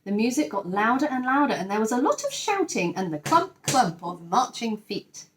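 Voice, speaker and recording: A woman teacher sharing a children's picture book, expressive and enthusiastic, with chatty asides. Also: fairly high pitch, normal speed, a little hiss or hum. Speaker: teacher reading to pupils. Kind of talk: children's storybook read-aloud with chatty asides.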